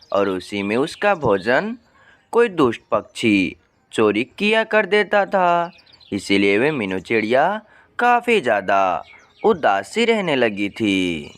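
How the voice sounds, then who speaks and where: Clear, slow-paced male storyteller. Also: medium pitch, a bit bright. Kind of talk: scripted children's story narration.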